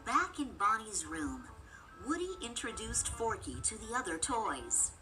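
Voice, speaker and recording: Expressive woman storyteller over music. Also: on the high side, measured pace, low-quality recording. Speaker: story narrator. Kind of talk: expressive scripted children's read-aloud.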